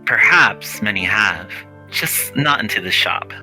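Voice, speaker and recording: A man voicing a gentle, bookish middle-aged shopkeeper in a visual novel, courteous and measured. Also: fairly low pitch, animated, exaggerated voice, rough recording. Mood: courteous, curious. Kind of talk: visual novel character read-aloud. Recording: music behind the voice.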